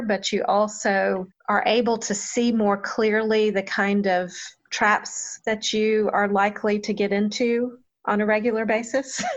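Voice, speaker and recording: A woman's voice: medium pitch, lo-fi sound. A woman pastor giving a thoughtful, reflective interview answer, often laughing at herself.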